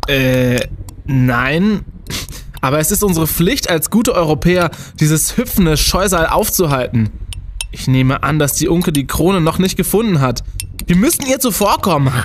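An actor playing a young student detective, an earnest, self-important leader, in an audio drama. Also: male voice, on the high side, lively intonation, unhurried, slight background noise. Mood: earnest, resolute. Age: young adult. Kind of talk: German audio drama acting.

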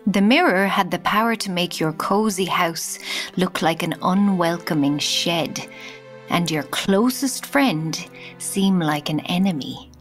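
A woman narrating a children's fairy tale as a storyteller, measured and deliberate. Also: on the low side, lightly acted. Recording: music underneath.

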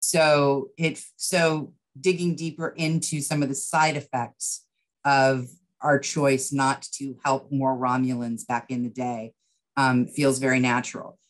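Low-voiced middle-aged woman explaining thoughtfully. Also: level tone, measured pace. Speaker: interview guest, writer and producer. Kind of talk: conversational, explanatory interview talk. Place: indoors, book-lined room, video call.

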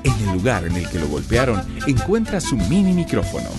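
A man's voice: fairly low pitch, huge pitch swings, a little fast, over loud music. A man narrating a radio drama in a dramatic, scripted style.

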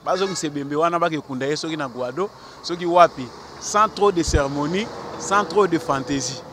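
A Congolese man in his thirties argues his point on a city square, pitched a little high, stumbling over repeated words as he talks. He speaks into a handheld mic held out to him, with faint traffic noise behind him outdoors. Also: normal speed. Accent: Congolese French with Lingala. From Congo (DRC). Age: 30s. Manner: earnest and argumentative, many repeated stammers; gestures with his hand while talking. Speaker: passer-by interviewed on the street. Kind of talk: street interview, mixing French and Lingala. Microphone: handheld mic held out by the interviewer.

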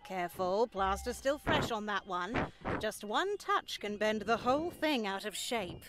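Woman acting a slow, stern elderly nun. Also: medium pitch, expressive, over loud music, natural voice, poor audio. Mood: solemn. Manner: measured, formal, sanctimonious. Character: stern, pious elderly nun, coolly reproachful. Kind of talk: visual novel game voice acting.

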